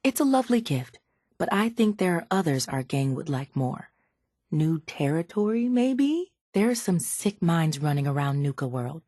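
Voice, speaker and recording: Game role: sardonic, calculating female raider scientist, slightly low register, cool measured delivery, very dry studio sound. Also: big pitch swings, mellow, no room sound. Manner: dry, measured, faintly condescending. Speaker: video game character voice actor. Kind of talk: scripted video game dialogue.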